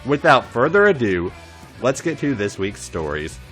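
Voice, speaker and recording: A man's voice: medium pitch, sing-song, normal speed, over loud music. A man hosting a podcast and reading scripted erotic fan fiction as narrator. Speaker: podcast host and story reader.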